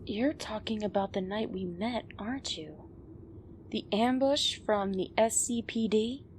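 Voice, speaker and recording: A woman's voice: mid-range voice, measured pace, a little mellow, natural voice. A woman acting a supportive but probing teammate of a vigilante in an audio drama, with a direct, questioning manner. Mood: concerned, probing. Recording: faint background noise and ambience.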